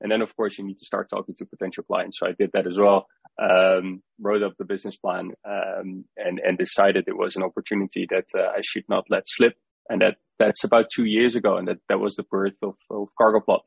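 A young man with a Dutch accent, a startup founder answering interview questions in a halting way, often repeating words as he thinks. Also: low-pitched, little pitch movement, vocal fry, slightly rough, dry recording, muffled recording. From Netherlands.

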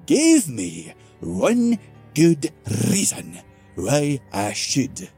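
A man voicing a battered old male dwarf warrior who slurs his words and mocks with loud sarcasm. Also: medium pitch, wildly swooping pitch, very slow, slightly rough, soft background music, voice pushed up, exaggerated voice. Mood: gruff. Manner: slurring, loud and mocking sarcasm.

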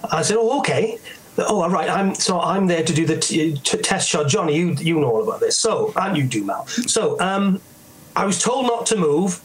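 A middle-aged man with a Welsh accent and a notably high speaking voice. He talks casually and tells anecdotes in a chatty way. He slips into the voices of people he is quoting. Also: expressive, normal speed, rough recording. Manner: chatty storyteller, acts out quoted voices. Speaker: guest.

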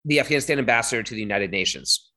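A man in his thirties, a company founder, recounting his career in a relaxed interview with many fillers. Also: mid-range voice, very fast. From born in Hong Kong, raised in London. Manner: chatty, with many fillers and self-corrections. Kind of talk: interview, personal storytelling.

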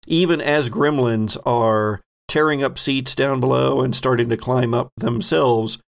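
A man with noticeable fry in his voice acts as game master. He sits at an average male pitch and narrates playfully, improvising as he describes each scene. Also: fairly even intonation, unhurried. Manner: descriptive, playful storytelling with pop-culture asides. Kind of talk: improvised narration of a tabletop horror-comedy game.

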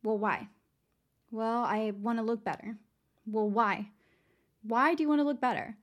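Young woman podcast host, somewhat high voice gone raspy, chatty and upbeat, talks to listeners like friends. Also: measured pace. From San Antonio, Texas. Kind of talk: casual motivational podcast talk.

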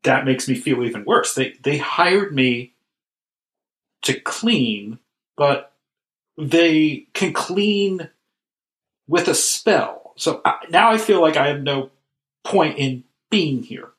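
A man's voice: a little high, animated, slow, long pauses, fairly bright tone. A man playing a despondent, earnest school cleaner in improvised comedy, plaintive and repeating himself. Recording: roomy, echoing sound.

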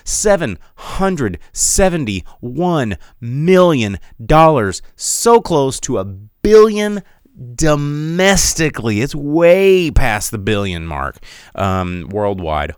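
A man's voice: medium pitch, clear, resonant tone, dry recording, clean studio sound. A man hosting a podcast, giving a casual, rambling run-through of weekend box office numbers with many fillers and restarts. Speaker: podcast host.

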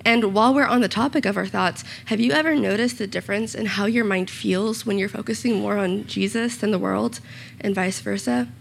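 A young woman speaks with a slightly raspy, gravelly voice. She gives a short sermon in a church room, earnest and personal. A faint hiss sits behind her. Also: medium pitch, brisk. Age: young adult. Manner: earnest and personal, with small self-conscious asides. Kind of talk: sermon with scripture reading and prayer.

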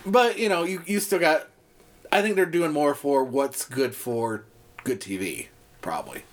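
A man's voice: a little high, expressive, unhurried. A man co-hosting a podcast chat, rambling from one point to the next, dropping fillers and the odd swear. His voice is starting to wear out. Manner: rambling, many fillers and restarts, swears casually. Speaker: podcast co-host. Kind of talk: casual chat.

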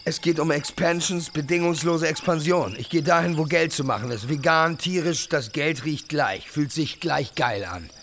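A man's voice: on the high side, moderate pace, hardly any pauses, a bit bright, faint music behind. A man playing a slick, self-promoting influencer entrepreneur in a satirical audio drama, bragging and flirty, dropping English buzzwords. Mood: smug, preachy. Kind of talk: satirical podcast radio drama.

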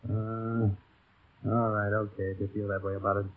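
An actor playing a tough, brusque, gruffly affectionate mail pilot boss in a 1930s radio drama. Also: male voice, fairly low pitch, flat delivery, moderate pace, natural voice. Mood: amused, teasing. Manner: curt, teasing, clipped. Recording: muffled narrow-band vintage radio broadcast, husky sound.

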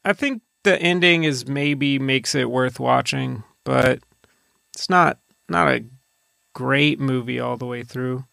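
A man co-hosting a podcast, chatting casually about movies in a hesitant, deadpan way. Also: medium pitch, takes it slowly, creaky, smooth, dry recording. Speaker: podcast co-host.

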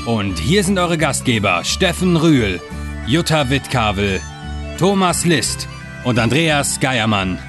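A man's voice: medium pitch, very animated, takes it slowly. A man reading an upbeat scripted podcast intro. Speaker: podcast announcer. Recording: voice over intro music. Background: guitar music.

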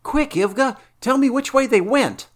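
A man doing an urgent, high-pitched young knight. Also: lively intonation, normal speed. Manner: urgent, exclaiming. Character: desperate loyal young male knight.

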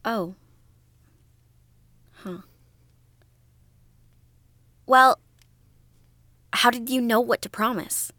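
A woman's voice: on the high side, very slow, long pauses, bright, forceful tone, no room sound, clean studio sound, full character voice. A woman playing a stubborn, questioning young daughter in a naturalistic audio drama, hesitant yet defiant. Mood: curious, puzzled.

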